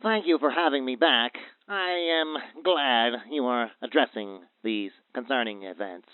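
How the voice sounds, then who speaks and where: An actor playing a grave, foreboding doctor of paranormal phenomena, speaking formally as a radio play guest over a phone line. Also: male voice, medium pitch, smooth, no echo. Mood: grave, earnest. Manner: formal, ominous, deliberate wording. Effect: phone line filter. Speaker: expert guest calling in to a radio show. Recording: thin, tinny phone line.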